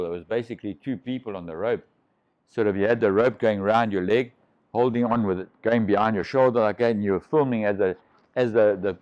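A man's voice: on the low side, a little mellow, dull audio. Rambling middle-aged man telling diving stories. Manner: rambling, restarts, swears freely. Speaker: shark diving pioneer, guest. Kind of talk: casual storytelling over drinks. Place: living room, sitting on a sofa.

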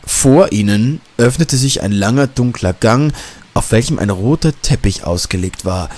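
Guy narrating a spooky audiobook, scripted storytelling, medium pitched voice. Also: lively intonation, moderate pace, darkish tone.

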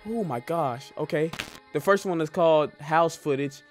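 A young adult man giving casual, excitable reaction commentary in a jumpy, slangy, joking and rambling way, close on the microphone. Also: a little high, normal speed. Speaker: reaction video host. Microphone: close microphone at a desk. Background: music from the watched videos.

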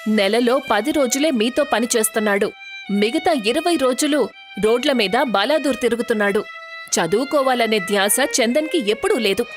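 A woman's voice: on the high side, normal speed, over music, natural voice. A woman playing an indignant middle-aged mother and wife, protesting reproachfully in a dramatized moral story.

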